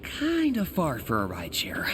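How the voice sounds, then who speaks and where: Young American superhero, self-effacing, hesitant, wry, lively intonation, video game dialogue, noisy background. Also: male voice, medium pitch, moderate pace. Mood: friendly, modest. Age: young adult. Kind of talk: naturalistic video game voice acting.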